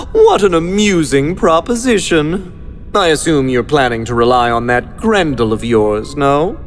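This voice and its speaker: A devious, sadistic game villain who swoops wildly in pitch and stretches words out slowly. He gloats in flowery, mock-polite phrases with gleeful menace. Dramatic music plays clearly behind him. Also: very animated, a bit bright, heavily acted. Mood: amused, condescending. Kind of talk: anime-style video game villain acting.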